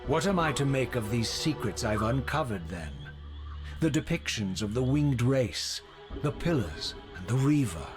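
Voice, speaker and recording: An actor playing a proud, brooding undead wraith in a video game, defiant and coolly sardonic, with eloquent, formal diction. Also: male voice, mid-range voice, very animated, measured pace, music in the background. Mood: challenging. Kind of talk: theatrical video game voice acting.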